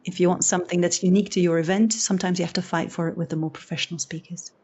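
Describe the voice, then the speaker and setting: A woman's voice: fairly low pitch, rapid-fire, breathy edge, muffled recording. A woman from Belgium, not a native English speaker, giving a conversational talk as an event host, acting out little quotes and restarting her sentences. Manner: animated, acts out quotes with restarts. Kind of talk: conversational presentation.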